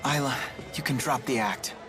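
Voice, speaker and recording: A male actor playing an earnest teenage student in a video game, measured, gentle but firm. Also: mid-range voice, music in the background, lightly acted. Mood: firm, accusing.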